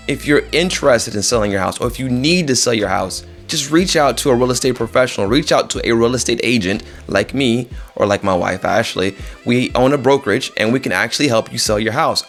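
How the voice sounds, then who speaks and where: A man's voice: medium pitch, a bit bright. A man talking directly to viewers as a real estate agent, informal and conversational, repeating phrases for emphasis. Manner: conversational, addresses listeners directly.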